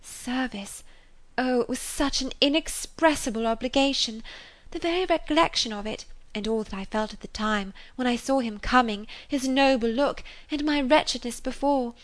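A woman's voice: sits high, moderate pace, voice pushed up, close to an everyday voice. A woman voicing an earnest, impressionable young woman in love in a storybook, with an effusive, emphatic manner. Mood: rapturous. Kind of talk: dramatised audiobook character reading.

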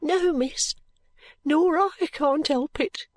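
Woman voicing a high, soft little servant girl. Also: no echo. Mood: earnest. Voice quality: soft, breathy. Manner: halting, deferential, unschooled speech. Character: timid, tearful, grateful little girl maid. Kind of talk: audiobook character voice.

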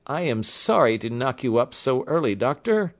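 A male reader plays a young engineer from a classic story, pitched high and very animated. He is courteous but badly shaken. His breathless, excitable speech sounds strained by nerves. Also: moderate pace. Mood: apologetic, strained. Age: mid-twenties. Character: pale, agitated young male engineer, wounded, hysterical, polite.

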